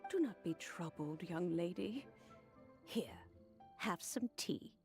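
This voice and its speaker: A woman voicing a gentle, elderly female immortal who speaks in a gracious, unhurried, courteous way. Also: lowish voice, lively intonation, soft background music. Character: gentle elderly female adeptus, kind hostess.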